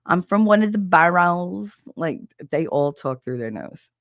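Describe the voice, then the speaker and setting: Stuffy, gravelly New host. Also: mid-range voice, animated, a little slow, fairly bright tone, no echo. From New Jersey. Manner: rambling, chatty, self-deprecating, greets chat viewers. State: stuffy, nasal from a cold. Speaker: livestream host. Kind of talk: casual live-stream chat.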